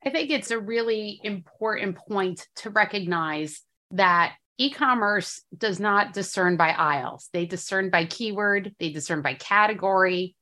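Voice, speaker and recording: A woman's voice: mid-range voice, a little slow, hardly any pauses, pushed and bright. Upbeat businesslike woman co-host. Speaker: podcast co-host. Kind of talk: podcast hosting and commentary.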